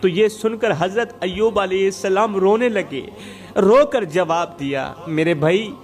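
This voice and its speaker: A man's voice: high-pitched, unhurried. A man preaching in an emotive religious storytelling style, dramatic and rhetorical, repeating phrases for emphasis. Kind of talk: emotive Urdu religious sermon with moral storytelling. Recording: background music under the voice. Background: music.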